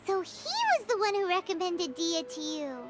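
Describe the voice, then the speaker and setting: A woman voicing a small, excitable fairy-like game companion in a high, squeaky voice, over loud game music. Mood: curious. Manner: excitable, quick to interject. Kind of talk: video game voice acting.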